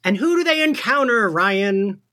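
A man's voice: high voice, lively intonation, unhurried, bright, forceful tone. A man hosting a casual recap podcast, speaking in an enthusiastic, gushing way. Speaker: podcast host. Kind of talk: casual podcast chat recapping an audio drama.

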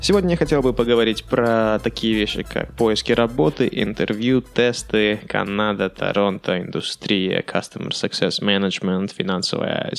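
Young man, podcast host, low voice, gravelly, rambling casual monologue. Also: moderate pace, hardly any pauses, soft background music. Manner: rambling, relaxed, many fillers and English words mixed in.

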